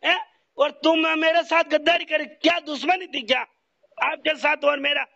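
A man's voice: extremely high pitch, fairly even intonation, moderate pace, creaky, pushed and bright. A dull, lo-fi phone recording carries a man. He rants in an aggrieved way, going back over his points in a heated conversation. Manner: aggrieved, ranting, repetitive. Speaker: phone call participant. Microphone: telephone.

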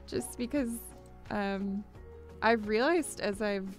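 A woman's voice: mid-range voice, slow. A young woman chatting casually to viewers on her live music stream, talking close to a studio microphone in a small home studio. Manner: chatty, upbeat, squeals greetings at viewers. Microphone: large studio mic on boom, headphones.

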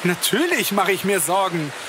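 A man's voice: high voice, big pitch swings, normal speed, noisy background. A man playing a nervous young man who stammers and hesitates. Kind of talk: audio drama acting.

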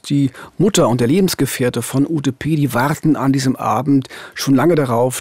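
Husky middle aged guy, true crime reporter narrating, dry studio mic. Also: medium pitch, no room sound. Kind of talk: scripted crime narration in dialogue. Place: small dark studio. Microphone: studio boom microphone, headphones.

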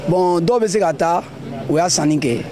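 Young man, street interviewee, high pitch, insistent delivery, crowd all around. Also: normal speed. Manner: emphatic, repeats his points. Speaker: passerby interviewee. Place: outdoor market. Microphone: handheld mic held by interviewer. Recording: crowd noise of a busy market.

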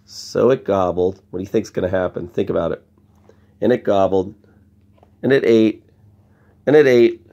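A man's voice: deep voice, normal speed, long pauses, mellow, dull audio. A man reading a picture book aloud to children, expressively, with chatty asides and questions to young listeners. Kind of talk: bedtime story read-aloud with conversational asides.

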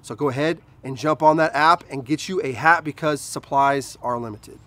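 A man's voice: fairly high pitch, normal speed, a bit bright. An American man in his thirties who works as an HVAC technician, presenting casually to camera. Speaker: HVAC technician and video host.

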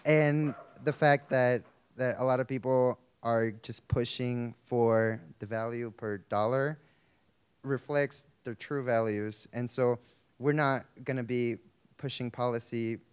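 A man's voice: medium pitch, fairly even intonation, takes it slowly, clear, resonant tone. A man in his twenties answering a question on a conference stage, holding a microphone. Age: 20s. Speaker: service corps member on panel. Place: conference hall stage, indoors. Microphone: handheld microphone.

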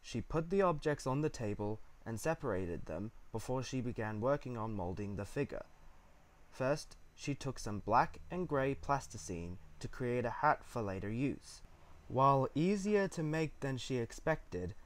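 A man narrating a written story from a script. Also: mid-range voice.

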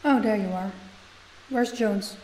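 A stern female company boss, acted by a woman in an audio drama. Her voice is mellow and mid-pitched, and she hands out orders with calm authority. Faint hiss sits behind her. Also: unhurried, close to an everyday voice, poor audio. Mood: firm. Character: stern, commanding female company boss, composed under stress. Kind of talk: audio roleplay acting.

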